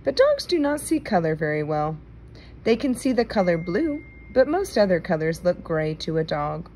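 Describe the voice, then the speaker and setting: A woman reading a children's nonfiction book aloud, phrasing it clearly for young listeners, recorded indoors on a handheld phone. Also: fairly low pitch, sing-song, normal speed, darkish tone, slight background noise.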